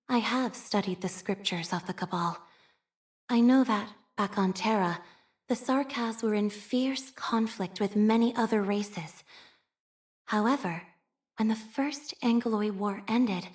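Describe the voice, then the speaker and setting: An actress voicing a soft-spoken, devout young woman scholar of a religious order in a video game, speaking formally and solemnly. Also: fairly high pitch, lightly acted. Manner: formal, solemn, measured, reverent. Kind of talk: scripted video game character voice lines.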